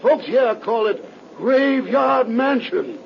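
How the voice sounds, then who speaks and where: High, creaky Southern country driver. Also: male voice, animated, takes it slowly, full character voice. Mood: ominous warning. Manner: folksy, ominous. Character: fearful rural Louisiana driver warning strangers of ghosts. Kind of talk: 1930s radio drama acting. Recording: muffled, hissy 1930s radio recording.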